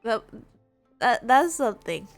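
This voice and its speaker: A woman streamer giving casual, rambling gameplay commentary, reacting and thinking out loud. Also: fairly high pitch, sing-song, a little slow, faint music behind. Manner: rambling, musing aloud, reactive. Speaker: streamer playing a game.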